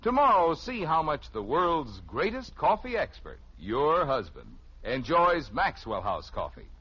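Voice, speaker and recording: Husky, swooping old-time radio pitchman. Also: huge pitch swings, unhurried, pushed and bright. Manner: folksy, emphatic salesmanship. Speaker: radio commercial announcer. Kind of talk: 1950s radio advertising pitch. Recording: muffled old radio recording.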